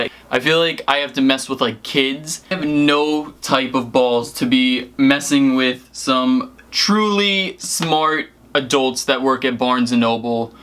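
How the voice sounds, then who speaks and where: A man's voice: mid-range voice, pushed and bright. A young American man chatting casually and hesitantly to camera and on the phone, peppering his talk with "like". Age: young adult. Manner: hesitant, rambling, heavy use of "like". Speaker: prank-call vlogger.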